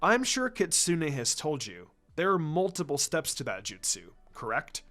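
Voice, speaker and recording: A man voicing a serious adult ninja mentor in a fan fiction reading, calm, stern and deliberate as he explains. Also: on the high side, soft background music, heavily acted.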